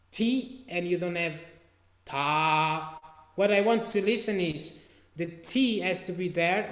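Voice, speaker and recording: A man from Portugal with a Portuguese accent, teaching an online trombone masterclass in a relaxed, halting way. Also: high-pitched, echoey room. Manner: relaxed, halting, sings out note syllables to demonstrate. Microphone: video call mic.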